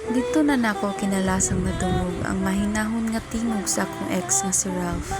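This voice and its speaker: A woman's voice: mid-range voice, moderate pace, loud music behind the voice, lightly acted. A woman playing a heartbroken young woman who narrates her own love story in a radio drama. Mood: resolved.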